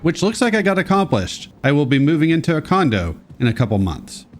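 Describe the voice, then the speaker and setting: Congested, lively young man commentating. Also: fairly high pitch, brisk, faint music behind. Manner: casual, sarcastic asides. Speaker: let's play host. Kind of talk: gameplay commentary.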